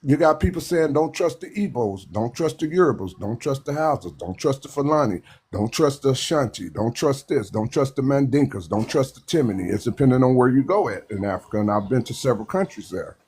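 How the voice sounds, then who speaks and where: Husky emphatic man. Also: medium pitch, animated, a little mellow. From Texas. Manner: emphatic, repetitive, halting restarts. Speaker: panel speaker. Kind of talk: impassioned live panel discussion.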